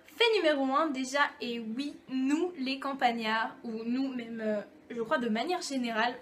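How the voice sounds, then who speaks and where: Chatty high-voiced young woman vlogger. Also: a bit bright, a little hiss or hum. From France. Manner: chatty, playful, acts out little dialogues. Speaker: YouTube vlogger. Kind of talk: casual comic monologue to camera.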